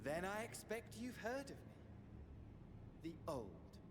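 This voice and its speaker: A flamboyant, sneering villain. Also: male voice, high voice, sing-song, a little slow, noisy background. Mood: theatrical. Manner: flamboyant, sneering, drops to whispers. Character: theatrical, mocking villain, an ancient sorcerer. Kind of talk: video game cutscene acting.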